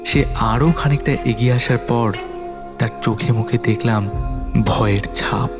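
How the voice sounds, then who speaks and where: Male narrator for a spooky audio story, steady mid pitch, eerie music and crickets behind. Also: lo-fi sound. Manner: measured storytelling, turns anxious in dialogue. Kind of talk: first-person horror audio story narration.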